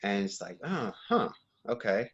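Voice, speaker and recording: A young American man talking as a podcast guest in a relaxed, reflective way, with fillers and restarts. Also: lowish voice, measured pace, slightly rough. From United States. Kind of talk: casual conversation. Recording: dull lo-fi sound.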